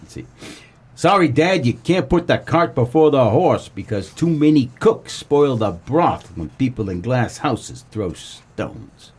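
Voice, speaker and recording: A man's voice: mid-range voice, unhurried, husky. Man playing wisecracking noir detective, swooping delivery. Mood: dry, dismissive. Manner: deadpan, wry. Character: wisecracking world-weary private detective, grown son of a crook. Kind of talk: comedic noir radio play.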